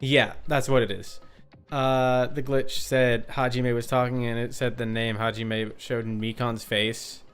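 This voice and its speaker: A man's voice: medium pitch, little pitch movement, measured pace, a bit bright, smooth. A man streaming a game and chatting with viewers in a rambling, informal, sweary way. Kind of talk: casual chat with viewers. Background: music.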